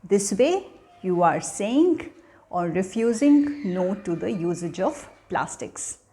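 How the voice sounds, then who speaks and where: Slow-paced woman teaching children. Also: mid-range voice, big pitch swings, mellow. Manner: teacherly, deliberate, asking questions then answering them. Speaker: children's storyteller and channel host. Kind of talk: educational talk addressed to children.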